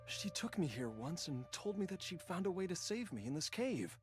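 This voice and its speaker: A man playing a frail, anguished sick young man in a video game, with a halting, emotional delivery. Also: sits high, very animated, over music.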